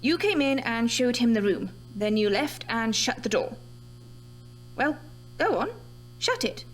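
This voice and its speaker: Female researcher, horror audio drama: high, animated, analytical, dismissive of superstition. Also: unhurried, slight background noise. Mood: methodical. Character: brisk, sceptical female scientist reviewing a death.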